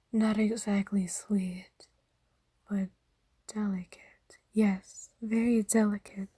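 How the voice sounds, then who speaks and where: A woman voicing a kind, aristocratic vampire owner in an ASMR roleplay, soft-spoken and half-whispering, with gently formal, coaxing words. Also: medium pitch, slightly rough, dry recording. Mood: savoring, sensual.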